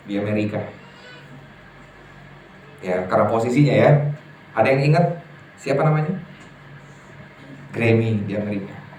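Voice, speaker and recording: A man preaching a sermon to a congregation, lively and emphatic, often putting questions to his listeners. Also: medium pitch, slight background noise. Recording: echoey hall from far off, faint ambience.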